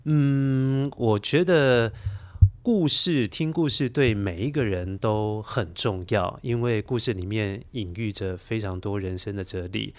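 A man's voice: on the low side, a little slow. A man with a Taiwanese Mandarin accent, a children's storyteller, explaining reflectively as a podcast guest and repeating words for emphasis. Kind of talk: conversational interview, reflective explaining.

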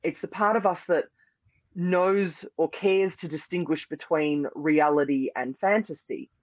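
A man's voice: fairly high pitch, a little slow, no room sound. A man with a slightly raised voice explains his practice in long, flowing, earnest sentences. He leans on words like "beautiful" and "powerfully". The sound is dry and muffled, like a lo-fi remote call. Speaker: hypnosis and NLP practitioner, guest. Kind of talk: interview answers, explaining.

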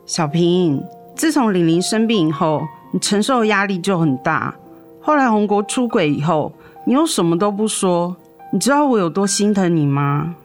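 Actress as protective big sister, very animated. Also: female voice, lowish voice, normal speed, faint music behind, natural voice. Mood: tender. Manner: emphatic, sympathetic. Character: protective, outspoken elder sister. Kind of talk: radio drama acting.

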